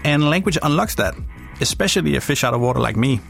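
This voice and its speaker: A young man from Denmark with a Danish accent, hosting a podcast in reflective, gently playful scripted narration. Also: on the high side, expressive, quick pace, gravelly, soft background music. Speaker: podcast host.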